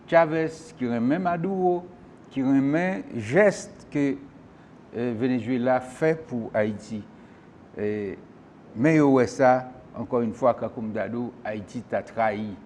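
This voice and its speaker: A male talk show host who presses his guest with question after question. His voice sits at an average pitch for a man. A faint low rumble runs behind him. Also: slow, darkish tone. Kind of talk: call-in political talk show.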